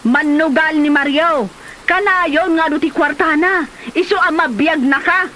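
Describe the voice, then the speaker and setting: Woman acting nagging drama mother, high-pitched, sharp bright voice, comedy drama. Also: a little slow, slight background noise, low-quality recording. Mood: scolding, insistent. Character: mother pushing her daughter to marry a gambler.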